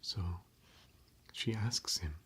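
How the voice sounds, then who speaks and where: A man softly whispering as a gentle scholar of ancient elvish lore, in an ASMR roleplay. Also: low-pitched, drawn-out words, slightly rough, exaggerated voice. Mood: hushed. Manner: soft whispering. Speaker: ASMR performer.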